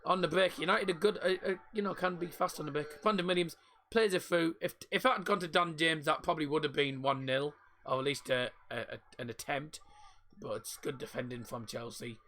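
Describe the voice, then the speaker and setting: A man hosts his own football stream, talking through the match in a casual, rambling way and tripping over names. His voice is croaky from a sore throat, yet still bright and forceful and sitting a little high. A faint hiss of background noise runs under him. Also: a little fast. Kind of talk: live match commentary and chat.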